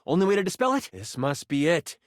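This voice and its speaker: A man's voice: on the high side, big pitch swings, brisk, no room sound, clean studio sound. A man playing an enthusiastic game master character in a video game, narrating a fantasy quest in a theatrical, dramatic way. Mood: excited, urging. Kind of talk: animated tabletop adventure narration in a video game.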